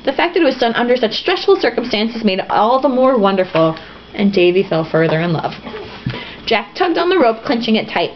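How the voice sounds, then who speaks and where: A woman with a fairly high, very lively voice reads a story casually and irreverently, dropping in sarcastic, sweary commentary. The recording is a muffled, lo-fi home one with faint noise. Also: fairly quick. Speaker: host reading a novel aloud with joking asides. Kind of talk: informal comic read-aloud with casual, profane asides.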